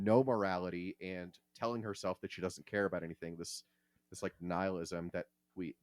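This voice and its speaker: A man's voice: deep voice, no echo. A man hosting a podcast, giving casual, opinionated takes on fiction in an emphatic, salty-tongued way. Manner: emphatic, profane. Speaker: podcast host.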